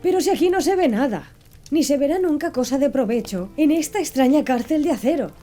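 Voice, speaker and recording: A woman voicing an impatient grown male harpooner in an audiobook, speaking in a quick, exasperated outburst. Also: high-pitched, animated, dark tone, faint music behind, pitched above the performer's own voice.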